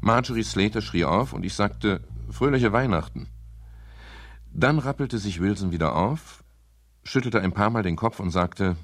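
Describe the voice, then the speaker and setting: An actor playing a wry, hard-boiled private detective, narrating his case in a dry, deliberate, ironic way for a radio crime drama. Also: lowish voice, no echo. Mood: dryly ironic. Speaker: first-person narrator.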